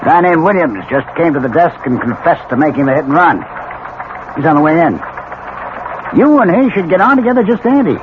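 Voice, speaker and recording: An actor playing a jaded, sardonic police lieutenant with an American accent and slangy, wry delivery in a vintage radio drama. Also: male voice, a little high, expressive, a little fast, lightly acted. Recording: tinny old radio broadcast, clear background noise.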